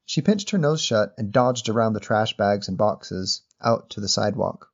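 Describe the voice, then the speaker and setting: A man reading a literary short story as narrator in a measured, descriptive manner. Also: fairly low pitch, lively intonation, lo-fi sound.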